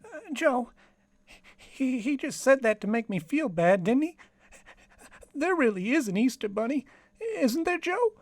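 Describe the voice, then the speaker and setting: A childlike, earnest detective sidekick, acted out for an old-time radio crime parody. He rambles on in a folksy, repetitive way, his pitch bouncing up and down. The recording is dry and close. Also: expressive, a little slow, pauses often, dry recording. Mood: anxious, naive.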